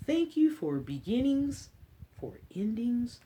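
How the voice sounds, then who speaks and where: Lady doing story time for kids, unhurried expressive reading, chatty asides, bit of background noise. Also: on the high side. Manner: expressive, drawn-out reading with encouraging asides to young listeners. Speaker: story time host reading a picture book aloud to children. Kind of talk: children's read-aloud with chatty asides.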